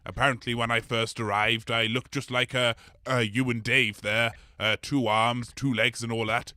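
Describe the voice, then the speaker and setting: A man plays a polite blob creature made of many absorbed people, with a bright, forward voice at middling pitch. The delivery is hesitant and rambling, quick to apologise, in a dry, close comedy audio drama recording. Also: little pitch movement, dry recording, close to an everyday voice. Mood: rambling, genial.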